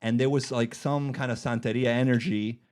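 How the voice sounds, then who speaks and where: A man's voice: mid-range voice, fairly even intonation, normal speed, darkish tone, smooth. Slangy, irreverent man bantering as host. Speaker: comedian podcast host. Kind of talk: casual joking banter.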